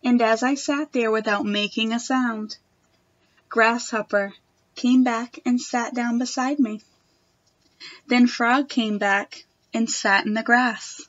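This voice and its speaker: High-voiced young woman reading to children. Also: steady tone, slow pace, fairly bright tone, no room sound, lo-fi sound. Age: young adult. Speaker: storytime reader. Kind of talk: children's picture book read-aloud. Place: wood-panelled room at home.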